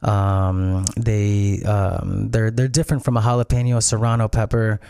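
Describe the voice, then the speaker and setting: Low, warm-voiced man explaining calmly. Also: measured pace, gravelly, clear, resonant tone, no room sound, clean studio sound. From Peru and Miami. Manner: thoughtful, explains at length. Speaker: restaurant founder, guest. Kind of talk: casual interview.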